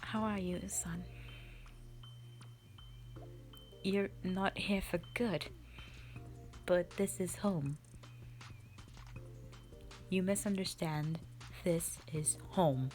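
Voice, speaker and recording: A woman playing a strict, commanding mother who leads a warrior band, speaking sternly and deliberately in a roleplay audio drama addressed to the listener. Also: on the low side, steady tone, darkish tone, soft background music. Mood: insistent, stern. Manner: stern, deliberate, halting delivery with sighs.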